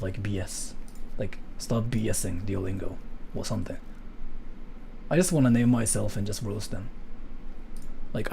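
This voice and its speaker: Excitable japanese man, jokey and lively. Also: mid-range voice, creaky, slight background noise. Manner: excitable, jokey, loud outbursts. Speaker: YouTuber. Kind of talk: comedic casual commentary.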